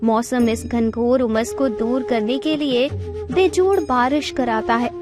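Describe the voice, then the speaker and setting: High-pitched woman narrating a story. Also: measured pace, music in the background. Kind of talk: scripted Hindi story narration.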